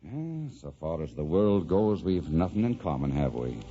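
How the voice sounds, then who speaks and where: An actor playing a tender, wistful Irish rebel who dreams of farm life, speaking with an Irish lilt in old-time radio drama style. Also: male voice, low voice, big pitch swings, moderate pace, mellow. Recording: dull vintage radio recording with music.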